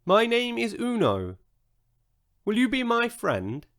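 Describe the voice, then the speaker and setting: A man voicing a gentle, friendly storybook unicorn with a courteous way of talking. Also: very high voice, wildly swooping pitch, slow pace, no room sound, clean studio sound, pitched above the performer's own voice, exaggerated voice.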